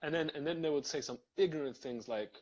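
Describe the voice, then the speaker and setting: Guy chatting on a live stream, lots of ums, bit breathy, muffled audio. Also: on the high side, fast talker, a little mellow. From Serbia. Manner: thoughtful, many fillers and restarts. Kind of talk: casual live video chat.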